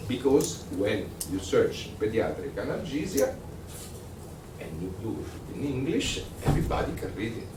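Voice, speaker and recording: Low-voiced italian guy giving a workshop lecture, lots of uhs, sounds far off and noisy. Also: big pitch swings. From Italy. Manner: conversational, halting, with many fillers and restarts. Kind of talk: workshop lecture with audience questions. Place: lecture room. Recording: echoey room, distant mic, noisy.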